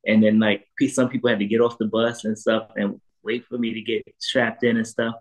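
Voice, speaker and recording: A man in his thirties with a New York accent telling personal stories casually and haltingly, repeating phrases, as a guest on a video call. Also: fairly low pitch, flat delivery. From Harlem, New York, United States. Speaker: interview guest, photographer. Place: indoor room at home, video call.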